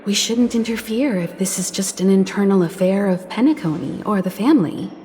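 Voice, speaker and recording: A woman voicing a poised crew leader in video game dialogue, measured and authoritative, with music behind her. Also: mid-range voice, slight background noise, natural voice. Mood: calm, serious. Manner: measured and authoritative, gives calm orders.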